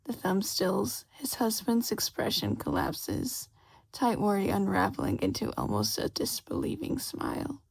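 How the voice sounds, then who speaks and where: A woman's voice: medium pitch, wildly swooping pitch, a little slow, gravelly, husky, whispered. A woman reading a scripted story aloud as the narrator of a fan fiction audiobook. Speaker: podfic reader, narrator.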